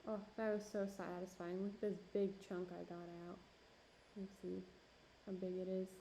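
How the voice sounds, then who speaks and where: A woman's voice: medium pitch, flat delivery, normal speed, creaky, warm tone, a little hiss or hum, rough recording. A young woman pottery streamer chatting casually with her chat while she works, with lots of fillers and laughs, and her voice starting to go. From Minneapolis–Saint Paul area. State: losing her voice. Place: home pottery studio.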